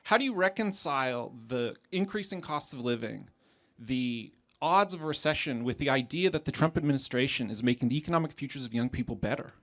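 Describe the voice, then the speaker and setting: Measured American man questioning in debate. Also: medium pitch, expressive, fairly bright tone.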